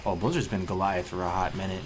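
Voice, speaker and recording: A man's voice: deep voice, fairly even intonation, quick pace, background noise. A man live-streaming and chatting casually with his viewers, sounding congested with a cold, rambling and restarting his sentences with plenty of gamer slang. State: congested, sniffly. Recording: music playing clearly behind.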